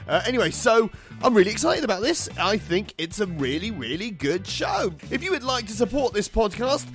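A man's voice: sits high, big pitch swings, a little fast, a bit bright, soft background music. A man hosting a podcast, upbeat and jokey as he introduces the show and interviews a guest. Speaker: podcast host.

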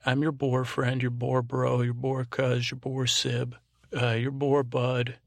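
Gravelly man rambling drowsily at bedtime. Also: mid-range voice, completely flat delivery, no room sound. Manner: meandering, halting, repeating and trailing off mid-word. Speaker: podcast host. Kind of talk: rambling bedtime monologue to help listeners sleep.

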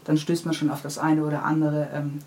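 A woman's voice: deep voice, monotone, brisk, warm tone. A woman in a casual interview, explaining her children's books in detail as their author. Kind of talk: casual interview chat about own books. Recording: clearly noisy background ambience.

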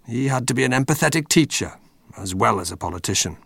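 Male documentary contributor, mid-pitched with a creaky edge, explaining in measured phrases, dry recording. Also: expressive, a bit bright, dry recording.

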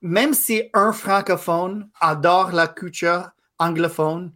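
Thirties man, english native, guest on a podcast, thinks out loud, halting, restarts a lot. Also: high-pitched, steady tone. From Quebec. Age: 30s. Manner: thoughtful, halting, with frequent pauses and restarts. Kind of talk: casual interview conversation.

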